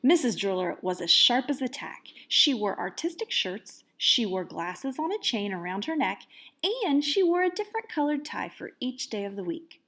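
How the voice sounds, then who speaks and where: A woman reading a children's storybook aloud as a teacher would, with expressive, dramatic emphasis. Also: medium pitch, normal speed.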